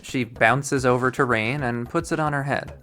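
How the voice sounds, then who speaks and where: A narrator of unclear sex reads a story aloud in a medium-pitched voice. Music plays behind the reading, which is scripted narration from a visual novel. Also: moderate pace, fairly bright tone.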